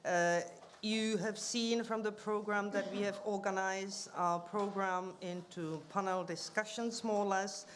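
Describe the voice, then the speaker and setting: A woman chairing a formal union meeting, welcoming guests and reading out speakers' names and titles with frequent pauses and fillers, speaking into a table microphone in a conference room. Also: mid-range voice, steady tone, slight background noise.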